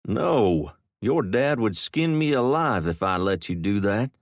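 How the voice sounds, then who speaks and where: A man voicing a protective older man with a gruff, reassuring manner. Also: lowish voice, big pitch swings, unhurried, no room sound, studio quality.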